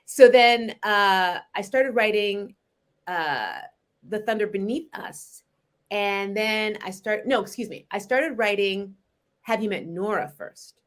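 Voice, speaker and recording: Woman in her forties, novelist guest from Canada; chatty and animated, mid-pitched, easy conversational delivery. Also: takes it slowly. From Montreal, Canada. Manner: chatty and animated, laughs often, frequent restarts. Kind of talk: relaxed conversational interview.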